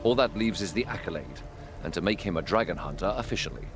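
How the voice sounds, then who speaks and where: An actor playing a grave, dutiful dragon hunter in a fantasy game, formal and measured. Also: male voice, low voice, animated, noisy background. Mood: firm, resolved. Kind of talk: dramatic fantasy game acting.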